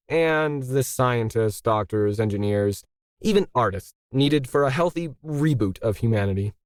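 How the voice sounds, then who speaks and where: A man's voice: fairly high pitch, no echo. Man as audiobook tycoon villain, smug, condescending, formal, persuasive, close dry sound. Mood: proud, matter-of-fact. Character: scheming billionaire man, smug, coolly persuasive.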